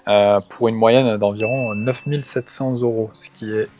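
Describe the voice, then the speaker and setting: A man in his thirties from England, a guest in a casual discussion, talking hesitantly with many pauses and fillers. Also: on the low side, fairly even intonation, background noise. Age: 30s. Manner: hesitant, frequent "euh" fillers.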